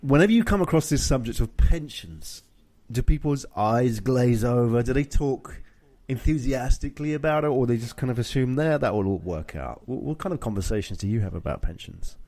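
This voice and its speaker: A man's voice: medium pitch, lively intonation, fairly quick, dark tone. A British man hosting a conversational discussion, explaining things and often checking in with short tag questions. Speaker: show host. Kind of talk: conversational discussion of personal finance.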